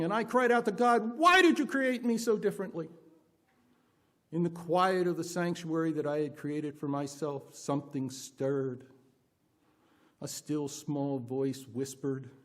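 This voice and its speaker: A person leading a worship service from a pulpit, reading aloud with deliberate, emphatic expression. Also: medium pitch, darkish tone. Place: church pulpit.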